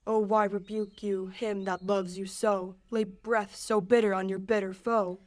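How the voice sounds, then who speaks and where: A woman's voice: medium pitch, level tone, unhurried, close to an everyday voice. A teenage woman playing a lovesick, rebuffed young man, delivering verse earnestly in an amateur Shakespeare radio play. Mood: wounded, pleading. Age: late teens.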